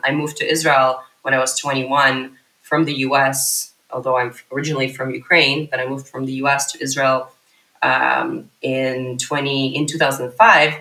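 A woman in her thirties talks animatedly as a podcast guest, winding through long sentences with plenty of fillers. Her voice is bright and sharp. It rings in a noticeably echoey room. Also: extremely low pitch. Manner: animated, rambling, many fillers and restarts. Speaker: startup CEO guest. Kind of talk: casual podcast interview.

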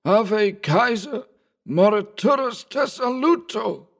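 A man puts on a broken-down old drunkard who still speaks like a gentleman, mild and cultivated. The voice sits well above the reader's own low tone. It sounds set a little back from the listener. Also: slow, close to an everyday voice. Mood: defiant. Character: old male barroom derelict, gentle and cultivated.

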